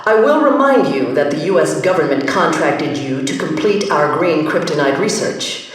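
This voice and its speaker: A steely, middle-aged female agency chief for a video game, issuing orders in a cold, clipped, threatening way. Her voice sits low, yet it swings widely between words. Nothing she says leaves room for argument. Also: lively intonation, normal speed, husky, heavily acted, low-quality recording. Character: stern, commanding female government agency chief.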